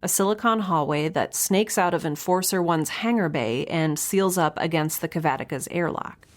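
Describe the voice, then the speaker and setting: A woman's voice: sits low, normal speed, lightly acted. An actress playing a young researcher alone on a spaceship, chatting into her log in a wry, rambling, nervous and self-deprecating way. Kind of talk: audio drama, spoken log in a casual chatty manner.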